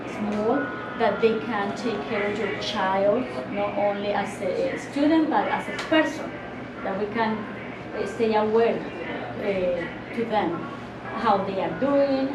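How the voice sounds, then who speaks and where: A woman from Colombia, a native Spanish speaker with a Colombian accent, speaking as a Spanish teacher at a school open house, halting and restarting her sentences. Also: medium pitch, heavy background noise. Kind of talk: open-house classroom talk. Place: school classroom. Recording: echoey room from far off, loud music behind.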